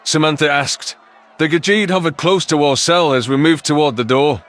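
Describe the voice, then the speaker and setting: A man's voice: on the high side, normal speed, a bit bright. A man reading dramatic first-person science fiction narration as an audiobook narrator.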